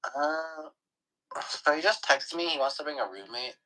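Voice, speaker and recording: A young man chatting casually with his partner, relaxed and teasing, laughing often. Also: a little high, normal speed, rough recording. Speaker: livestream co-host.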